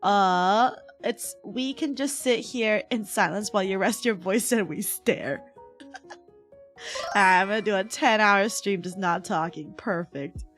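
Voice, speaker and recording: A woman livestreamer with a hoarse, cracking voice, chatting casually with her viewers in a playful, giggly manner. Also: mid-range voice, slow pace, fairly bright tone. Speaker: livestream host, VTuber. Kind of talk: casual livestream chat, reading and answering chat messages. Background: background music.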